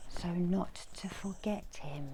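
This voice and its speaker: A middle-aged woman leading an ASMR guided meditation in a soft, breathy, mostly whispered voice with long soothing pauses. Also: lowish voice, very noisy. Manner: slow, hushed, soothing delivery with long pauses. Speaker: reiki healer and guided meditation host. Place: small indoor room.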